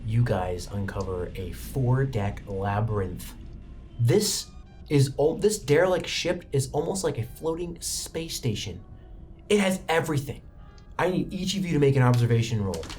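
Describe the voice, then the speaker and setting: A man's voice: mid-range voice, lively intonation, normal speed, a little mellow, faint music behind. A man narrating a tabletop roleplaying game as game master, improvising as he goes.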